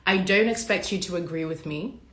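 A woman with a lowish, raspy voice, hoarse from a cold, riffing passionately and bluntly in a casual livestream, swearing freely. She sounds like she is in an echoey room. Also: brisk, a bit bright. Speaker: podcast host.

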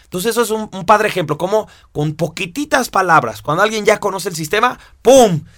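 A man teaching a course, explaining step by step with lively rhetorical questions. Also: high-pitched, brisk, no room sound, studio quality. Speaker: instructor. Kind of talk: lecture to students.

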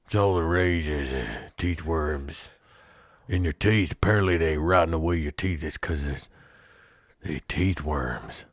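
A man playing a folksy, dim Old West tooth-puller hosting a comedy show, rambling and halting with an American accent. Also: rumbling low, no room sound, clean studio sound. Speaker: host. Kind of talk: improvised comedy role-play.

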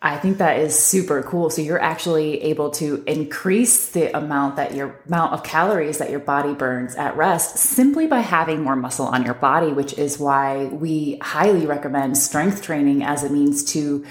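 A woman co-hosting a casual health podcast, upbeat and quick to laugh along. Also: sits low, echoey room. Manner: laughs often, affirming. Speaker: podcast co-host. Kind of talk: casual podcast chat and ad read.

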